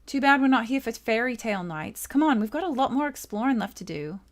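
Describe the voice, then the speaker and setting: A woman's voice: fairly high pitch, lively intonation, quick pace, natural voice. A woman voicing a brash, feisty young female thief in a video game, talking in blunt, urgent exclamations. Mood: brash, sardonic.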